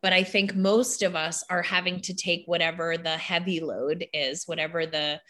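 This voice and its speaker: A woman's voice: lowish voice, fairly even intonation, moderate pace, pushed and bright. A woman hosting a conversational podcast, talking earnestly and reflectively in flowing asides. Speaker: podcast host. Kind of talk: casual conversation.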